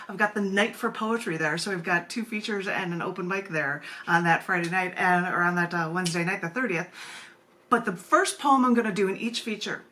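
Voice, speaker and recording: A woman's voice: medium pitch, bright, forceful tone, a little hiss or hum. An American woman hosting a poetry open mic over a video call, chatty and given to rambling asides. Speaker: open mic host and poet. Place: at home, indoors. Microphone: video call microphone.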